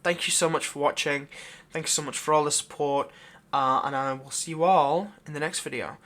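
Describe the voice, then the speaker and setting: A man's voice: fairly high pitch, steady tone, fairly quick, a bit bright. A man hosting his own channel, giving a casual, unscripted update in a rambling, hesitant way. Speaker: channel host, audio roleplay creator.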